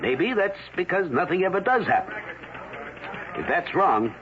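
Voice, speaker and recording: An actor playing an older, world-weary newspaper editor in an old-time radio drama, dismissive and full of cautious warnings. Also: male voice, high-pitched, sing-song, normal speed. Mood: cautioning. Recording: tinny, faintly noisy old radio.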